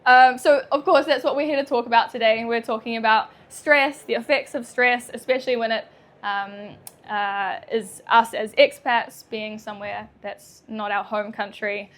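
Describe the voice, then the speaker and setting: A young woman with a New Zealand accent, a chiropractor giving a live talk to an audience, nervous and chatty, laughing often and filling pauses with ums. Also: fairly high pitch, fairly even intonation, fairly bright tone. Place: indoor venue with audience.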